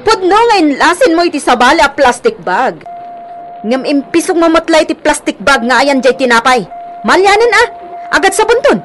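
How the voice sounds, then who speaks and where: A woman playing a bossy, quick-tempered grocery owner, scolding and nagging with an Ilocano accent in a radio drama. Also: high-pitched, big pitch swings, a bit bright, a little hiss or hum. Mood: stern scolding.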